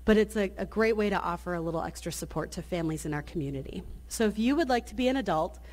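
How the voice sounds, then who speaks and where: A woman's voice: medium pitch, expressive, fast, a little mellow. Woman pastor at a church lectern. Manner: friendly, inviting, with many restarts. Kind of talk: church announcements and benediction. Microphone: lectern microphone.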